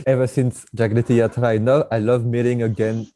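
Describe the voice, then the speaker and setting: A man's voice: mid-range voice, flat delivery, moderate pace, warm tone. A man hosting a podcast, introducing his guest and chatting in an eager, friendly way with frequent laughs. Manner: enthusiastic, chatty, laughs often. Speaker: podcast host. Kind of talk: casual interview, scripted intro.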